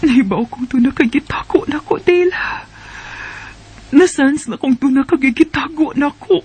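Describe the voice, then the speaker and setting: An actress with a Cebuano Bisaya accent playing an anxious, suspicious, soft-hearted young wife in a radio drama. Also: female voice, high voice, normal speed, close to an everyday voice. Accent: Cebuano Bisaya Filipino.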